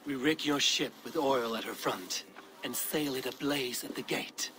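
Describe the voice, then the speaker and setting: An actor playing a resolute Viking warrior leader in a video game cutscene, speaking firmly and plainly. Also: male voice, medium pitch, a little slow, bright, forceful tone. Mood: resolute, planning. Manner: firm, decisive, plain-spoken.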